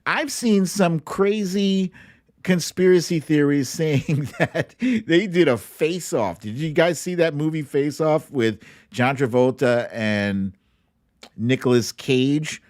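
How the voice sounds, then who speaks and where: A man's voice: fairly high pitch, slow, darkish tone, no echo, clean studio sound. A man hosting a casual political commentary livestream in a chatty, incredulous, amused way.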